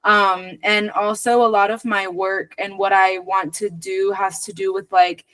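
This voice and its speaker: A woman's voice: mid-range voice, level tone, measured pace, a bit bright, no echo. Earnest woman in a dry, close recording. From born in Venezuela, moved to the United States very young. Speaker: podcast guest. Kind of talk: casual, reflective conversation.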